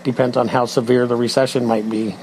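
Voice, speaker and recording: A man's voice: mid-range voice, little pitch movement, brisk, darkish tone, a little hiss or hum, rough recording. Candid man in his sixties. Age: 60s. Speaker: poll respondent.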